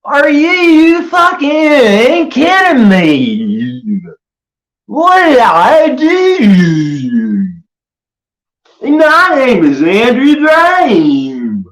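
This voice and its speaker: A man putting on a shrill, panicky voice of another man who yells in drawn-out, exaggerated outrage. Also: wildly swooping pitch, roomy sound, exaggerated voice, rough recording. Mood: outraged.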